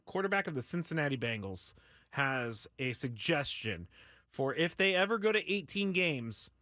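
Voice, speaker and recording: A man's voice: medium pitch, a bit bright, dry recording, clean studio sound. A man hosting a sports talk radio show, speaking in a casual, candid, self-deprecating monologue. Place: radio studio.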